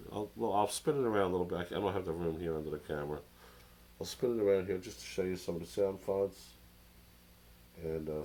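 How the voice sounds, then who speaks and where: A man's voice: low voice, darkish tone, slight background noise. A man with a cold and a nasal voice, rambling through a casual show-and-tell product review at a desk. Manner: rambling, hesitant, many fillers and restarts. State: has a cold, sounds nasal. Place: at a desk under an overhead camera.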